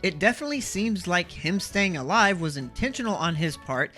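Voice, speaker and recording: A man presents a scripted video essay in a bright, forward voice. His explanatory delivery is lively and emphatic, with faint music underneath. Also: high-pitched, brisk. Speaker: host of a video game analysis series. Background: background music.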